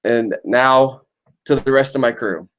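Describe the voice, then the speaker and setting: A man hosting a podcast with a hoarse, worn voice from being ill. He talks in a chatty, informal way. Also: medium pitch, measured pace. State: hoarse, lost voice from illness. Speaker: podcast host. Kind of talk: casual podcast intro.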